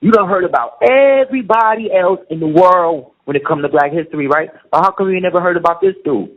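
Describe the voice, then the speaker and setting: A middle-aged man with a husky, slightly high voice makes his points emphatically and keeps asking whether the listener is following. The recording is muffled and lo-fi. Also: fairly quick. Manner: emphatic, keeps asking "you see what I'm saying". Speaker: guest. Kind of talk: casual conversation. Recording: muffled lo-fi audio.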